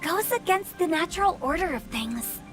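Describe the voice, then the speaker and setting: A woman's voice: very high-pitched, expressive, moderate pace. A woman playing a spirited, inquisitive young female funeral director in a video game. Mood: inquisitive, suspicious. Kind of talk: English video game voice acting. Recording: game audio with loud background music. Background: background music, streamer talking over.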